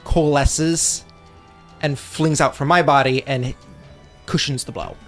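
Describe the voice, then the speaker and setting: A man's voice: fairly high pitch, fairly even intonation, slow, a bit bright, soft background music. A man talking casually as a player in a tabletop fantasy game, explaining rules and his character's abilities.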